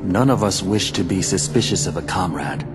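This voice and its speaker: A man's voice: sits low, animated, normal speed. An actor playing a cold, scheming male villain in a video game, speaking formally with controlled menace. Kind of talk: video game cutscene acting. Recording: loud ominous music underneath.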